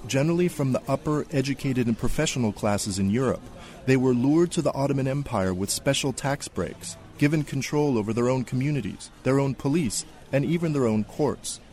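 A male correspondent reads a scripted radio report. His voice sits at a middle pitch with a measured, even reading.

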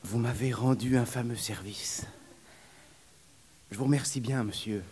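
A man's voice: unhurried, a little mellow, close to an everyday voice. A man voicing a suffering young wet nurse, pleading in a raised, breathless voice. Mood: relieved. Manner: high, plaintive, breathless pleading.

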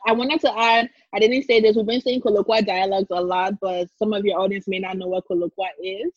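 A woman's voice: mid-range voice, steady tone, brisk, dry recording. A talkative young woman from Liberia, telling her story conversationally as an interview guest. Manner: talkative, laughs easily. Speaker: interview guest, culture and fashion blogger. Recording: dull, lo-fi dry audio.

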